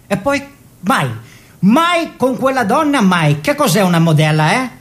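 A man's voice: sits high, lively intonation, measured pace, a bit bright. An actor playing a stern, old-fashioned bourgeois father, scornful and querulous in theatrical dialogue.

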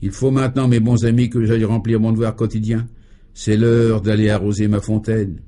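Guy doing a dreamy old professor in a radio comedy, soft dark tone, very polite and pompous about nonsense. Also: on the low side, level tone, a little fast, lightly acted. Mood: courteous. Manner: courteous, ceremonious, solemnly absurd. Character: dreamy, proud, courteous eccentric old male professor. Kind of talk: French comic radio serial acting.

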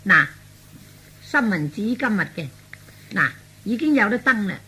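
A man voicing a sharp, scolding young jilted fiancée in husky falsetto for a radio comedy. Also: very animated, measured pace, heavily acted. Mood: smug, curt. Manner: scolding, taunting, emphatic. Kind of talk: Cantonese radio comedy acting.

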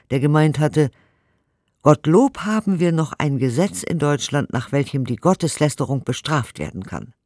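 A man's voice: medium pitch, darkish tone, dry recording. A man giving a formal, declamatory scripted reading of old documents for a radio play, close to a studio microphone. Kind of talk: scripted dramatic reading of historical press and court reports.

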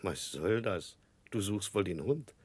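A formal, mild schoolmaster in a vintage radio play. His very low voice is dry and close, measured and faintly regretful. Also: lively intonation, a little mellow, husky, dry recording. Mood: stern, regretful. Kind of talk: 1960s German radio drama acting.

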